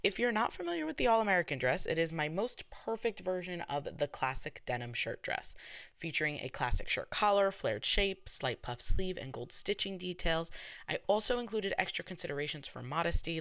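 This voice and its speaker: New york lady hosting a podcast, deep-ish voice, new york accent, upbeat and eager. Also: expressive, a little fast, a bit bright. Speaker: podcast host. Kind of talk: scripted intro, then interview.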